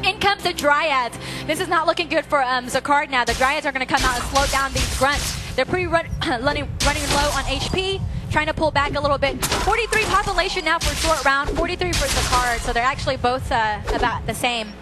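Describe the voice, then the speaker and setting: A woman's voice: high-pitched, fairly quick, few pauses. A woman doing play-by-play commentary on a strategy game match, talking with frequent fillers while losing her voice. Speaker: esports caster. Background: loud music.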